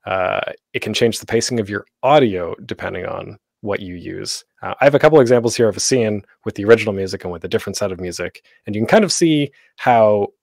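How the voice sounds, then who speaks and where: A man's voice: lowish voice, animated, fast, gravelly, few pauses, a little mellow, no room sound. Informal man presenting, close and dry. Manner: informal, rambling, self-interrupting. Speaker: panel host teaching audio drama production. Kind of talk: casual instructional presentation. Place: close, dry home recording for an online panel.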